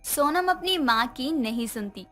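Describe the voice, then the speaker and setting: Female narrator for moral stories, high register, light music bed. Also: expressive, a little slow, a bit bright. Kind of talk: scripted story narration.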